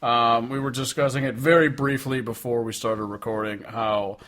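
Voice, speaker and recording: A man's voice: mid-range voice, fairly even intonation, moderate pace, fairly bright tone. A man co-hosting a music podcast in casual, joking talk with plenty of swearing. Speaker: podcast co-host.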